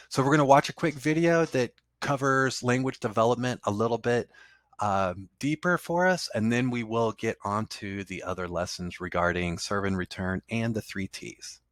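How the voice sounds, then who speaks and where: A man's voice: on the low side, no echo. A man teaching a course over slides, talking loosely and conversationally, with fillers and restarts. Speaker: instructor. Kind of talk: casual lecture over slides.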